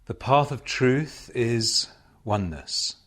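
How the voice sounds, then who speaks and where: A man leading a guided meditation as a spiritual teacher, speaking solemnly and deliberately, with measured pauses and repeated phrases. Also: mid-range voice, lively intonation, a bit bright.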